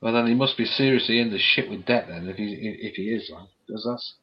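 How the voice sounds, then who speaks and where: A man's voice: on the low side, quick pace, a little hiss or hum. Man on a dull lo-fi line. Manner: swearing, laughing, colloquial British slang. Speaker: stream co-host. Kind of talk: casual chat. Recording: dull lo-fi sound.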